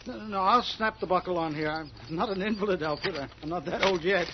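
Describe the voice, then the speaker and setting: Weary old farmer, higher-pitched voice. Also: male voice, fairly quick. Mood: genial, puzzled. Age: older. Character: weary old male farmer, widowed and brooding. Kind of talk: radio drama acting. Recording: dull, noisy radio drama recording.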